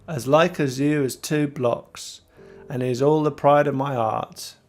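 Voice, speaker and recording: Here is a man acting out a sly old seafaring villain from an adventure storybook. He wheedles and threatens in rough sailor speech, dropping his H's, with faint music behind him. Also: mid-range voice, slow pace. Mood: fawning, stern. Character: sly menacing old sailor stranger, fawning and sneering.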